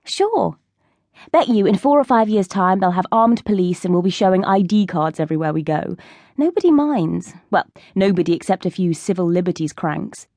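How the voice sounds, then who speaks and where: A woman's voice: fairly low pitch, expressive, normal speed, darkish tone, no room sound, clean studio sound. A woman voicing a cocky, streetwise teenage schoolgirl in an audiobook, with a breezy, bossy, know-it-all manner. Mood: offhand, knowing.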